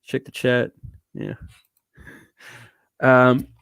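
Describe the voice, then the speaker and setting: A man's voice: medium pitch, completely flat delivery, drawn-out words, fairly bright tone, smooth, no echo. A drunk man hosting a casual livestream, reacting to what he reads aloud with amused, profane, unguarded asides. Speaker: livestream host.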